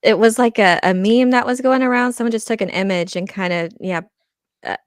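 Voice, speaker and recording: A woman on a podcast panel, explaining things casually in a halting way with restarted phrases. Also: on the high side, smooth, no room sound, clean studio sound. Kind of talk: casual discussion.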